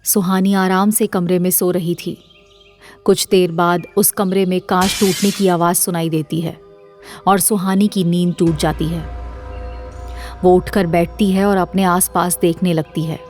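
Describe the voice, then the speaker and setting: A woman narrates a scripted audio drama. Her voice is a little low for a woman, and soft music plays behind the dramatic storytelling. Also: flat delivery, unhurried.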